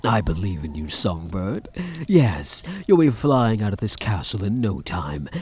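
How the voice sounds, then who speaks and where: Vampire count played by a man, ASMR roleplay: lowish, swooping, soft-spoken purr, seductive, mocking, possessive, breathy. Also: big pitch swings, exaggerated voice. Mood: mocking, amused. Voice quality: husky, breathy. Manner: slow, teasing, mock-sympathetic purr.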